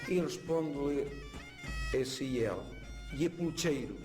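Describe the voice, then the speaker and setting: A man with a rural Mirandese accent from northeastern Portugal, telling a folk anecdote conversationally, with pauses between phrases. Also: mid-range voice, lively intonation, over music.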